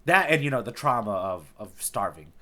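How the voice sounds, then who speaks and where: A man's voice: fairly low pitch, sing-song, normal speed, pushed and bright, slight background noise. A man co-hosting a podcast in casual conversation, thinking aloud in a rambling way and often saying "like". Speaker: podcast co-host. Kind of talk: casual chat recapping a manga arc.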